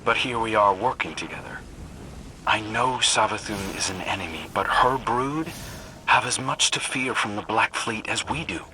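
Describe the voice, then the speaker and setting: A man's voice: fairly low pitch, normal speed, sharp tone, slight background noise, low-quality recording. A man playing an earnest, conscience-driven young warrior in a science fiction game, with an intense, pleading delivery.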